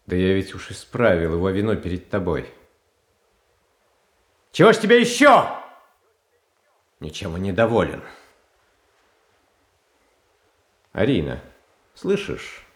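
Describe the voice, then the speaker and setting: An actor in a radio play playing a meek, kindly, anxious tsar who coaxes and repeats himself in a hesitant, fretful way. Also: male voice, sits low, very animated, a little slow, pauses often. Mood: exasperated. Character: meek, kindly, anxious tsar of old Russia, pleading peacemaker. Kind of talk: theatrical radio drama acting.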